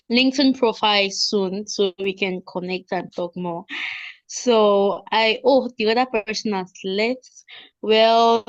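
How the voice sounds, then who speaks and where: A woman's voice: on the high side, a bit bright, no echo. A woman from Cameroon, facilitating a webinar in a friendly, chatty way over a video call. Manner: friendly, chatty self-introduction. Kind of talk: casual webinar chat. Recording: dull lo-fi video call audio.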